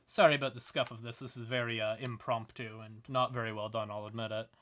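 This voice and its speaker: A man's voice: medium pitch, level tone, quick pace, a bit bright. A man hosting a livestream and explaining fantasy lore in a casual, irreverent, sweary, conversational way. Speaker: livestream host.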